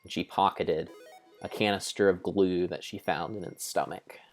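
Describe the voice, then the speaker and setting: A man giving an improvised dramatic narration of a game like an adventure storybook, with deliberate, drawn-out phrasing. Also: low-pitched, fairly even intonation, soft background music.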